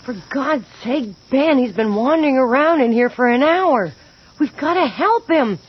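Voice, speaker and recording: Distraught graveyard searcher, radio horror; husky female voice, swinging pitch, dull vintage sound. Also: lively intonation, moderate pace. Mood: urgent. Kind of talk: radio horror drama acting. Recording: muffled old radio drama recording.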